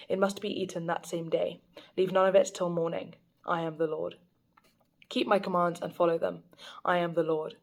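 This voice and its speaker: Young woman, lower-pitched voice, steady scripture reading, phone recording. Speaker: Bible reading creator.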